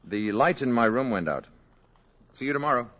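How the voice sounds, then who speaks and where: An actor playing a calm, grave alien emissary in a 1950s radio drama, speaking formally and with measured restraint. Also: male voice, medium pitch, highs cut off, slight background noise, lightly acted. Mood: courteous, gentle. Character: calm, grave male alien emissary posing as a courteous lodger. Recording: vintage radio drama recording.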